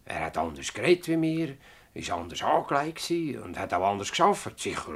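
A man playing a self-important farmer and village mayor in a Swiss German radio play, halting, complaining and self-justifying. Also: fairly low pitch, sing-song, breathy edge, full character voice. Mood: defensive. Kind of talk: dialect radio drama acting.

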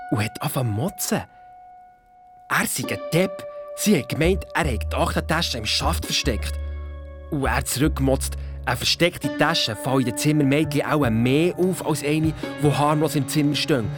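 A performer playing an excited boy detective with a Swiss German accent, telling a story colloquially and dramatically in a kids' radio play. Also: medium pitch, lightly acted. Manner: breathless storytelling, colloquial, dramatic sound imitations. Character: excited boy detective recounting his sneaky adventure.

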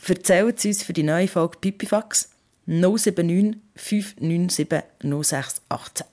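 A woman's voice: on the low side, unhurried, a little mellow. A woman with a Swiss German accent hosting a podcast about parenting in a candid, colloquial, conversational way.

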